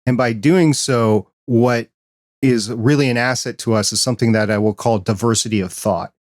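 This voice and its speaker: Midwestern man, podcast host; earnest, steady delivery, dry close recording. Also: mid-range voice, moderate pace, dry recording. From American Midwest. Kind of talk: casual interview chat.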